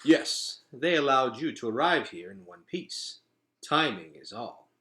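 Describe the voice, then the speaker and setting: A man voicing a calm, commanding leader in a storybook, with stately, formal and archaic phrasing. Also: medium pitch, a little slow, heavily acted. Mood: thoughtful. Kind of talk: acted storybook dialogue.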